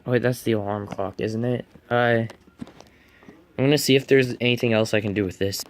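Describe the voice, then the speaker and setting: A man's voice: medium pitch, fairly even intonation, fairly quick. A man giving casual unboxing and setup commentary, muttering to himself as he works. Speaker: hobbyist reviewer. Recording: noisy home recording with clicks and beeps.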